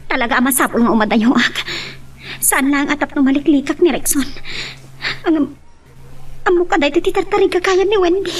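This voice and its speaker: A woman's voice: high-pitched, a little fast, long pauses, recorded from a distance, natural voice. A woman playing an anxious, earnest young woman in an Ilocano-accented romance drama. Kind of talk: radio-style romance drama acting.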